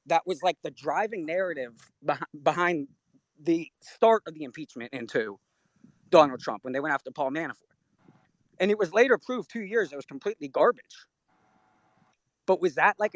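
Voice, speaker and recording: A man's voice: high-pitched, no room sound. A man calling in to a live audio chat, asking a long, rambling, earnest question.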